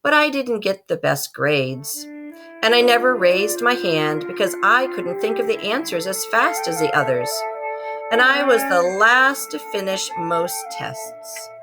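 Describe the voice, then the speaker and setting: A woman's voice: medium pitch, measured pace. A woman reads a children's picture book aloud to students, expressively acting out the story. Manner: animated, acts out the story. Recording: loud music behind the voice. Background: musical soundscape.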